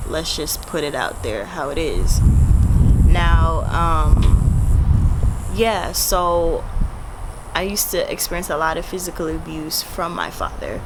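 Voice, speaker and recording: Blunt young Jamaican woman, noisy background. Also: deep voice. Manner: casual, blunt and profane. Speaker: vlogger. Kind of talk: candid personal storytelling to camera.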